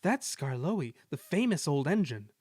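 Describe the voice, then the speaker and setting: A man voicing a mild, kindly young male steam engine in a children's storybook, gentle and apologetic. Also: on the high side, sing-song, a little slow, slightly rough, dry recording, studio quality, heavily acted.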